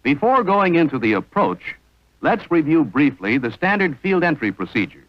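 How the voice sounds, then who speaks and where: A man's voice: on the high side, very animated, normal speed, no echo, lo-fi sound. A man narrating an instructional training film in a formal, precise manner on a vintage soundtrack.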